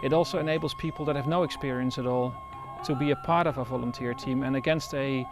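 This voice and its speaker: A man running a wildlife rescue center, speaking in an interview in a thoughtful way, with pauses and restarts. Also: medium pitch, fairly even intonation, music in the background.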